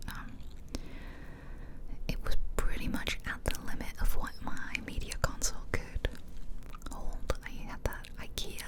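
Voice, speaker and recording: A woman whispering softly and breathily into a close microphone, chatting casually in a rambling ASMR style. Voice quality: soft, breathy unvoiced whisper. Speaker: ASMR video creator. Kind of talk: ASMR whispered casual chat over gameplay. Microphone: close ear-to-ear microphone.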